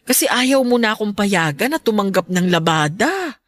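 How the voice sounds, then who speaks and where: A woman acting a caring, worried middle-aged mother in a Filipino radio drama, gentle and fretting. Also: medium pitch, big pitch swings, moderate pace, dry recording, lightly acted. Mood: guilty, pleading.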